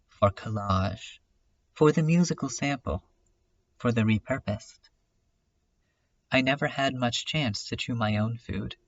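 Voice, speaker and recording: Man acting as a brooding dreamer, slow halting delivery, poetic introspective monologue, webcomic fan dub, dull lo-fi recording. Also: medium pitch, fairly bright tone, slight background noise. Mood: wistful, wounded. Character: brooding, self-critical dreamer musing on art and self.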